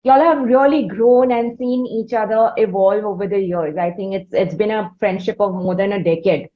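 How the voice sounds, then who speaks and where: A woman with an Indian accent hosting an interview, building up wordy, halting questions in a conversational way. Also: mid-range voice. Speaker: interviewer, host. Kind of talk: conversational long-form interviewing. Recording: slightly dull, lo-fi audio.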